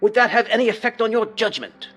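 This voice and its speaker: High-voiced elderly judge. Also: male voice, fairly bright tone. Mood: formal, patient. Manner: formal, measured. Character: formal, unflappable elderly male trial judge. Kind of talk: courtroom dramatization.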